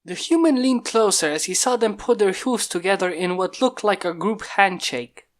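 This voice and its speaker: A man reading a fan story aloud in steady, plain narration. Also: high-pitched, normal speed. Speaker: fanfic reader. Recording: mic pops from a failing microphone.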